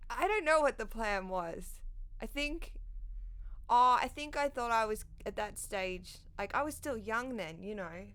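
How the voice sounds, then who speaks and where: A woman's voice: on the high side, lively intonation, measured pace, fairly bright tone. A woman in her thirties from Sydney with an Australian accent, a comedian guest talking casually and self-deprecatingly into a studio stand mic. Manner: self-deprecating, laughs at own jokes. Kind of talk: casual interview chat. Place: dark studio set, seated in armchair. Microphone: studio condenser mic on stand.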